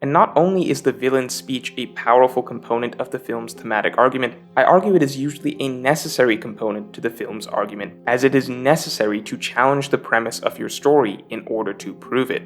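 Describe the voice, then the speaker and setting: A man's voice: medium pitch, a little fast, hardly any pauses, faint music behind. A man delivering scripted narration for a film analysis video essay as its host, explaining in an articulate way.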